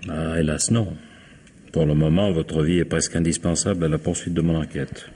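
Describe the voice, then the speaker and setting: An actor playing a sardonic, dogged police inspector in a French radio mystery, prodding with irony and drawn-out interjections. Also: male voice, rumbling low, fairly even intonation, warm tone, husky. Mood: ironic, pressing. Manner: ironic, prodding, drawling interjections. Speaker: actor in a radio crime drama.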